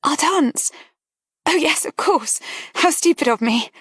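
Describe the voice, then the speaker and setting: Brief: a woman playing a high, tremulous young lady on the edge of tears, breathy and pleading, storybook reading. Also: animated, measured pace, fairly bright tone, no echo, clean studio sound. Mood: flustered. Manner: breathless, pleading, breaking into whispers. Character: anguished young woman, tearful and trembling.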